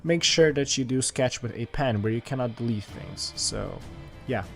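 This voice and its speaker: A man's voice: medium pitch, expressive, moderate pace, gravelly, faint music behind. Rambling man narrating his sketch. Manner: rambling, many fillers and restarts. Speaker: art channel host. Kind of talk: casual voice-over commentary on a sketch.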